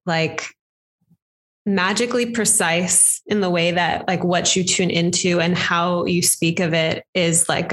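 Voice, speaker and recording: A woman with a slightly low voice hosts an interview. She speaks effusively and fills her sentences with likes and ums. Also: fairly bright tone. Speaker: host, astrology teacher. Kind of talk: interview conversation.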